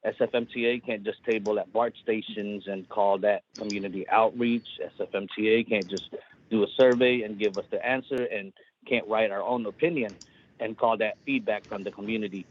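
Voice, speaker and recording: A man's voice: on the low side, steady tone, normal speed, dull audio. A man whose first language is Filipino, giving an earnest, pleading public comment by phone. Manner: earnest, pleading, repetitive phrasing. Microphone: phone line.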